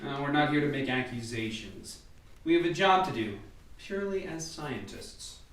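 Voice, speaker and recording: A man playing a measured, methodical lead scientist in a radio play. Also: fairly high pitch, lively intonation, fairly bright tone. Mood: firm, patient. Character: measured, methodical male scientist leading a lab team. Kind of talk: amateur radio play acting. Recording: very echoey room, distant, music behind.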